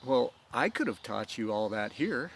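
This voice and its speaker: A man as an incredulous, creaky older swordmaker. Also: mid-range voice, sing-song, normal speed, a little hiss or hum. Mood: dry, questioning. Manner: disbelieving, questioning. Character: incredulous older male swordmaker, gruffly fond mentor.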